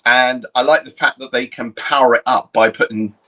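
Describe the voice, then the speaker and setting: Thoughtful young man, mid-pitched voice. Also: fairly even intonation, bright, forceful tone. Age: young adult. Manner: measured, thoughtful, explains at length. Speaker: co-host. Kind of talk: casual podcast chat.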